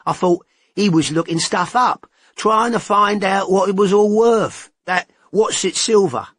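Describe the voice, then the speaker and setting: A man voicing a cocky, smug man with a husky voice, in an audiobook, blunt and slangy. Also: sits high, a little slow, a bit bright, no echo, pitched above the performer's own voice. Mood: gossipy. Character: cocky working-class English man, chatty, smug. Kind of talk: audiobook character voice.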